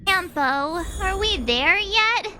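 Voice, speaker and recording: Playful teen game heroine, high voice, very animated pitch, chirpy, impatient. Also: female voice, slow pace, very noisy, exaggerated voice. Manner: chirpy, expressive, informal. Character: perky, impatient, playful teenage girl adventurer. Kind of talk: video game cutscene acting.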